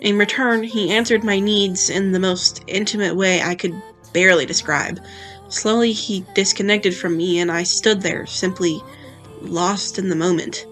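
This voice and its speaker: Over a music bed, a woman acts a frank, wary young female rebel. She tells her story in the first person, confessional and dramatic, with a medium-pitched voice, as in an audio storybook. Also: fairly even intonation, normal speed, a bit bright, close to an everyday voice. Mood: uneasy, awed. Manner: confessional, storybook-style telling. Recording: music behind the voice.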